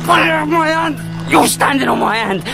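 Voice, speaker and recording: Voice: high-pitched, normal speed, vocal fry. An actor playing a frightened, pained young man in a radio drama. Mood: pained, panicked. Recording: loud music over the voice.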